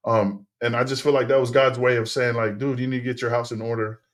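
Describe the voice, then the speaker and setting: A man's voice: mid-range voice, flat delivery, fast talker. A middle-aged man whose first language is Tongan, telling his story as a podcast guest in a loose, rambling way with plenty of fillers and restarts. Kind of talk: casual interview storytelling.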